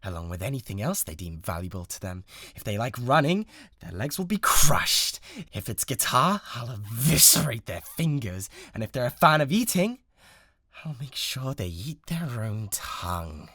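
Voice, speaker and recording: A man's voice: medium pitch, big pitch swings, normal speed, slightly rough, no echo, clean studio sound, heavily acted. Man playing a volatile, obsessive British streamer boy. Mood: menacing, cold. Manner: intimate, pleading, swinging between hushed and insistent. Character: obsessive, bratty young male yandere livestreamer, needy and menacing. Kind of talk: dramatised one-man roleplay addressed to the listener.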